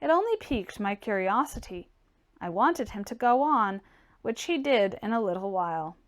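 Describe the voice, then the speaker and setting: A woman's voice: mid-range voice, animated, moderate pace. A woman reading a classic novel aloud as scripted first-person literary narration. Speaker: audiobook reader.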